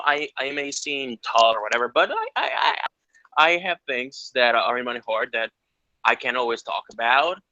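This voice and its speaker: A man's voice: mid-range voice, sharp tone, dry recording. A man from Ecuador, earnest and rambling, answering questions in a casual interview. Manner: earnest, rambling, lots of restarts. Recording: dull lo-fi sound.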